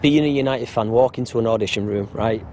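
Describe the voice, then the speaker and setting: A man's voice: medium pitch, fairly quick, darkish tone, a little hiss or hum. A man in his twenties with a Mancunian accent, an actor telling joking, rambling anecdotes in a casual interview. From Salford, Manchester. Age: 20s.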